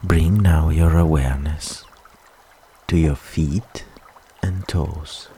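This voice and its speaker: A man with an extremely low, mellow voice guides a relaxation meditation. He speaks soothingly and very slowly, leaving long pauses between instructions. A faint trickle of water runs behind him. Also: fairly even intonation, slight background noise.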